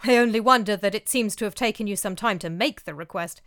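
A woman's voice: medium pitch, fast talker, a bit bright, no echo, clean studio sound, close to an everyday voice. A woman voicing a stern young Norse chieftain man who speaks in clipped commands. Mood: resolute.

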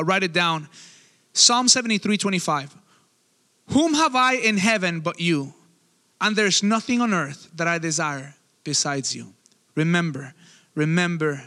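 High bright-voiced preacher in a hall. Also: male voice. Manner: conversational preaching with quick asides. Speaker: pastor. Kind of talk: sermon.

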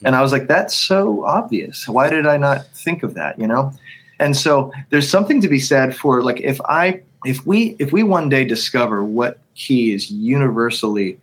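A man who writes and leads worship songs, talking in a podcast conversation, thinking aloud with frequent restarts and humming bits of melody. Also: medium pitch. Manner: thinks aloud with many restarts, sings snippets to illustrate. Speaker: worship songwriter and leader.

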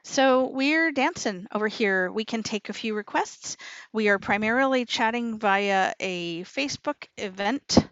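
A woman's voice: medium pitch, lively intonation, a little slow, fairly bright tone, smooth, no room sound, lo-fi sound. A woman co-hosting a radio show in a cheerful, chatty manner. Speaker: radio co-host. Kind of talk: casual radio chat.

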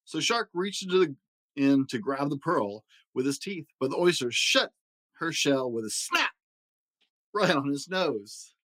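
A man narrating a children's picture book in an expressive, dramatic storytelling manner. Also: on the high side, measured pace, no echo. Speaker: story reader.

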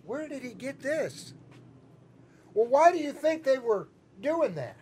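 A man's voice: very high-pitched, sing-song, measured pace, a little mellow, slight background noise. A man from Chicago with a Chicago accent, preaching a sermon as pastor in a conversational, teasing way and asking the congregation questions. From Chicago, Midwest US. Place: church sanctuary.

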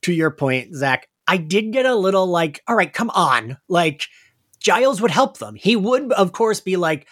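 A man's voice: sits high, sing-song, a little slow, fairly bright tone. A man co-hosting a podcast, talking casually as a fan and thinking aloud in hesitant bursts. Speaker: podcast co-host.

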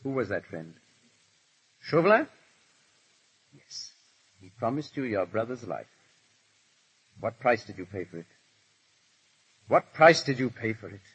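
An actor with an English accent playing a foppish, witty aristocrat hiding deep feeling, with urbane banter in a 1930s radio drama. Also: male voice, sing-song, measured pace, long pauses, fairly bright tone, breathy edge, heavily acted. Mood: probing, grave. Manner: languid, urbane, drawling banter. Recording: muffled vintage 1930s radio recording.

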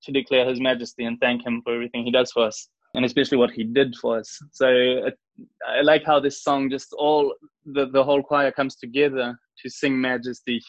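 A man's voice: mid-range voice, flat delivery, fairly quick, no echo, highs cut off. A young man from Switzerland with a New Zealand-influenced accent, chatting earnestly and casually as an interview guest. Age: young adult. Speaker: podcast guest, church worship singer.

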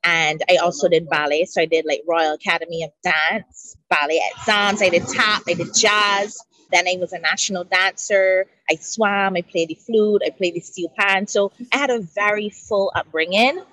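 Guest voice: woman in her 30s from Barbados, low-pitched, earnest storyteller, outdoors by the sea. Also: steady tone, normal speed, hardly any pauses, bright, forceful tone, low-quality recording. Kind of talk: relaxed interview chat.

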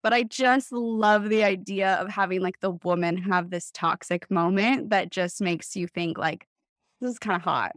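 Lady author just chatting, says like constantly, acts out little quotes, mid pitch, no room echo. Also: normal speed, studio quality. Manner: animated storytelling, acts out imagined quotes, heavy use of "like". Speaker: author guest on a book podcast. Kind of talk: casual chat.

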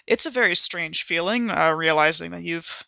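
A woman's voice: fairly low pitch, normal speed, vocal fry, pushed and bright, no echo. A woman player in a tabletop game, speaking improvised dialogue in character.

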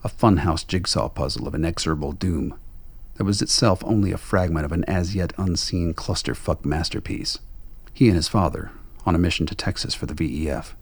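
A man's voice: low-pitched, a little fast. A man reading dramatic scripted narration for a radio play.

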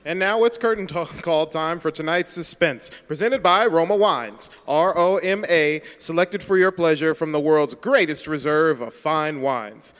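Casting: man to play formal 1940s radio announcer, smooth, slightly high male voice, hall reverb. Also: measured pace, hardly any pauses, a bit bright. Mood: formal, polished.

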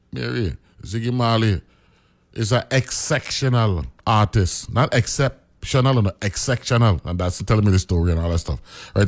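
Virgin Islands radio host, easygoing low voice. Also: male voice. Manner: conversational storytelling, keeps asking "right?". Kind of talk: casual radio commentary.

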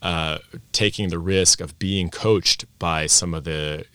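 A man's voice: sits low, steady tone, slow pace, pushed and bright, smooth, no echo. A man who grew up in Austria, a language teacher and presenter, talking reflectively with frequent restarts. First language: English and German bilingual. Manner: reflective, many restarts and self-corrections. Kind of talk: conversational podcast interview.

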